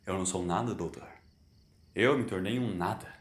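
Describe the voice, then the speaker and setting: A man's voice: sits low, normal speed, roomy sound, pitched below the performer's own voice, lightly acted. A man voicing a weary, bitter immortal alien in human form for an audio story, solemn and anguished. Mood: bitter, resigned.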